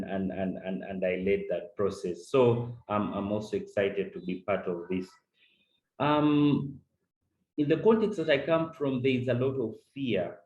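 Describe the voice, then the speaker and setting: A man's voice: on the low side, darkish tone, reverberant, dull audio. A man from Uganda speaking earnestly as a discussant on a webinar call, often repeating words as he starts a point. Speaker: panel discussant. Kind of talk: webinar remarks. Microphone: video call.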